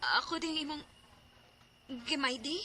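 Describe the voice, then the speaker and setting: A woman's voice: high-pitched, a little slow, sharp tone, a little hiss or hum. An actress with a Cebuano Filipino accent playing a lovestruck young woman in a radio drama. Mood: excited, insistent. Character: lovestruck young woman confessing love to her friend.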